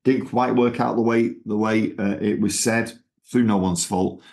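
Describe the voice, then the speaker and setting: Gravelly low-voiced Manchester man. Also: steady tone, unhurried, a little mellow. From England. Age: middle-aged. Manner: rambling, with many fillers and restarts. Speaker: ministry founder, guest. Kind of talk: conversational interview.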